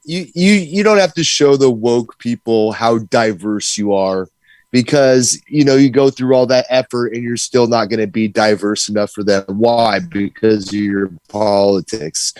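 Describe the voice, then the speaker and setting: Male co-host, medium pitch, casual opinion talk, very dry recording without room sound. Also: a little mellow, dry recording. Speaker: livestream co-host. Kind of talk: casual political chat.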